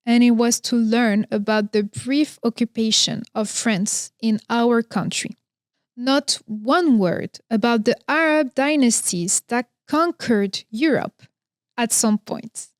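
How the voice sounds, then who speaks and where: A woman in her thirties from Morocco, hosting a podcast and telling her own story in a slow, reflective, earnest monologue. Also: a little high, dry recording, clean studio sound. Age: 30s. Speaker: podcast host. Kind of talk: scripted personal monologue.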